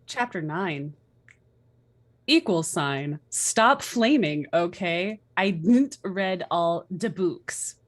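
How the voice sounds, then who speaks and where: A woman's voice: lowish voice, animated, very slow, heavily acted. A woman playing a defensive, sulky teenage fan fiction author, reading petulant notes in a comedic dramatic reading.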